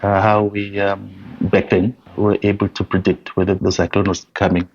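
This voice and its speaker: A man's voice: on the low side, flat delivery, normal speed, darkish tone. A man from Fiji, a humanitarian guest, talking in a remote interview over a video call, hesitant and often restarting. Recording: dull lo-fi call audio.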